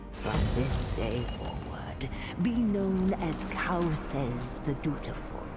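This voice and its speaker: An imperious old alien empress rules this game scene in a very low, swooping voice. Her speech is formal, haughty and regal, set over loud music. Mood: grateful, ceremonial. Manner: slow, formal, regal delivery. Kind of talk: video game cutscene acting.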